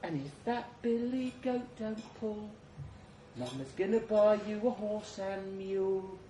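A man voicing a ghostly woman softly singing a lullaby, in a hushed, faint singsong. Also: sits high, unhurried, a little hiss or hum. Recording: distant, roomy echo.

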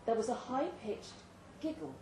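A woman giving an expressive, theatrical reading of a suspenseful short story at a live indoor event. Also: fairly high pitch, moderate pace. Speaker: story reader. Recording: roomy live venue, distant mic, faint noise.

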